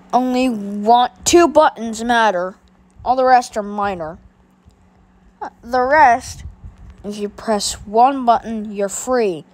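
A woman voicing a bossy older boy who gloatingly announces punishments in a homemade skit. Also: fairly high pitch.

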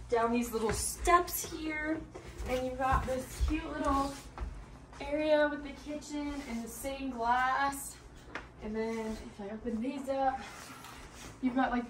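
A woman's voice: sits high, fairly bright tone, a little hiss or hum, poor audio. A young woman chatting casually into her phone as she films a travel vlog, bubbly and talkative. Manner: bubbly, chatty, says "cute little" a lot. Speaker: vlogger. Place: outdoors on ski slopes and snowy streets, and indoors in a rental house. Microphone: phone held at arm's length.

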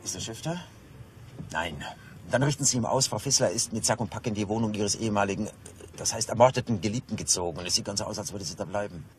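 A man's voice: lowish voice, quick pace, faint music behind, lightly acted. An actor playing a grumbling, flustered policeman on stakeout in a German radio play. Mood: grumpy, flustered. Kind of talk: German radio drama acting.

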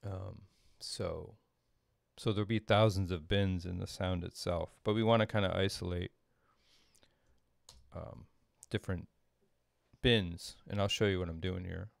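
A middle-aged man giving an informal tutorial at his desk, thinking aloud in a halting way as he explains. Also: deep voice, fairly even intonation, vocal fry, no room sound, clean studio sound. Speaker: composer demonstrating audio programming. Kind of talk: informal screen-share tutorial. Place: small indoor room at a desk.

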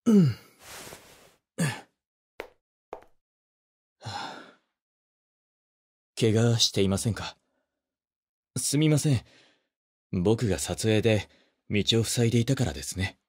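An actor playing a polite, gentlemanly young wedding photographer who speaks softly and teases the listener in an audio drama. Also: male voice, lowish voice, animated, slow pace, long pauses, husky, full character voice. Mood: polite, gentle. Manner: soft-spoken, polite, teasing.

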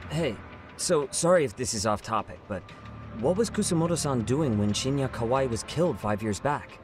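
A man's voice: medium pitch, lively intonation, normal speed, over music, close to an everyday voice. Man acting a blunt, irreverent young sidekick. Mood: curious. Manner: casual, blunt, slangy. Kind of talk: dramatic video game voice acting.

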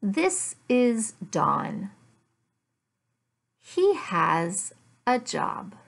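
A woman in her fifties gives a simple English lesson at a very slow, deliberate pace. Her voice sits a little high, with marked creak in it. She enunciates carefully and repeats phrases for learners. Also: very animated. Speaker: English teacher. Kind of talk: slow, simplified language lesson read aloud. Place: furnished room at home.

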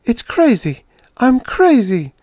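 A man voicing a frightened, lonely woman who pleads in high, trembling cries. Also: very animated, takes it slowly, warm tone, no echo, clean studio sound, full character voice. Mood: distraught.

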